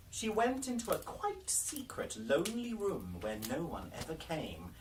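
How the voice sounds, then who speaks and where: A man reading a fairy tale aloud as a storyteller, with theatrical, deliberate phrasing. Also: fairly high pitch. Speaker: library storyteller. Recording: noisy, distant microphone, clicks.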